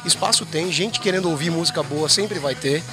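A man's voice: fairly high pitch, brisk, music in the background. A man in the band answering interview questions in an earnest, emphatic, practical way. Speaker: band member.

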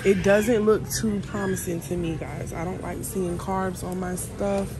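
Woman, casual shopping vlog, thinking aloud, supermarket aisle, phone mic, clear store noise behind. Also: lowish voice, fairly even intonation, measured pace. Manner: chatty, thinks aloud while reading labels. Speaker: vlogger. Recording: noisy store background.

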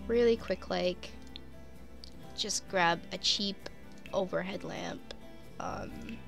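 A woman's voice: fairly low pitch, slow, gravelly, soft background music. A young woman casually narrating her life-sim game, chatting to her characters, sniffly with a cold. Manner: chatty, talks to her sims, frequent "like" and "um". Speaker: gaming YouTuber. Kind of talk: casual let's play commentary.

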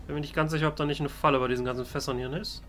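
A man's voice: fairly high pitch, steady tone, very fast, a bit bright, soft background music. A man giving playfully ironic, in-character commentary as a let's play host. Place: voice-over on game footage.

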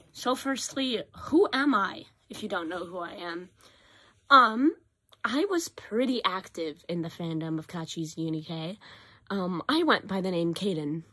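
A teenage girl, hoarse from a cough, talking casually and rambling into a webcam in her small bedroom. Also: mid-range voice. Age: teens. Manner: rambling, self-correcting, animated at times. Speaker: vlogger. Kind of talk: casual confessional vlog. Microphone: built-in webcam or phone mic, close.

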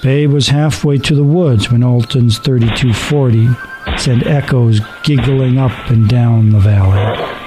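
A man's voice: medium pitch, a little slow, low-quality recording. A man narrating a radio play as a scripted, dramatic story. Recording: music under the voice.

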